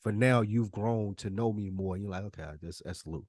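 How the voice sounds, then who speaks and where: A man's voice: fairly low pitch, normal speed, darkish tone, no echo, studio quality. A man co-hosting a podcast, talking casually with plenty of slang in a blunt, questioning manner. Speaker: podcast co-host.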